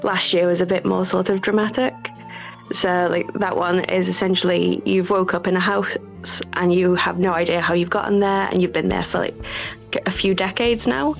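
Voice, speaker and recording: A woman's voice: on the low side, creaky, dull audio. A woman game developer chatting casually in an interview over an online voice call.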